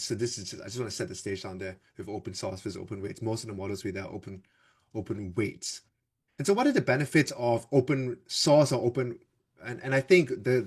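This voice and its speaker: A man from Singapore, a developer advocate, giving a loose, conversational tech presentation in a webinar, full of fillers and restarts. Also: mid-range voice.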